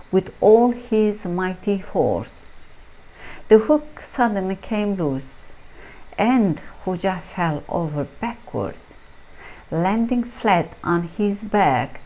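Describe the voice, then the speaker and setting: Female storyteller for folktale narration, very unhurried delivery, lowish pitch, deliberate pauses. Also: darkish tone, rough recording.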